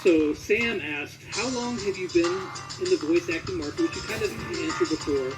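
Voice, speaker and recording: Guy hosting a casual interview, pretty high voice, music playing behind him. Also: expressive, normal speed, rough recording. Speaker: interviewer, host.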